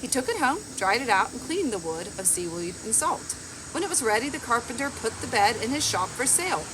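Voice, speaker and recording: A woman around forty reading a picture book aloud in a measured, expressive storytelling way. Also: a little high. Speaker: library storytime reader. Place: outdoors on a garden bench. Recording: outdoor background noise with insects.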